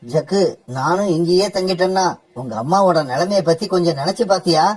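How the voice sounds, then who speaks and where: An actor voicing a gentle, mild-mannered father bird in a cartoon, pleading with his family. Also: mid-range voice, brisk, natural voice. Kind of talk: cartoon dub acting.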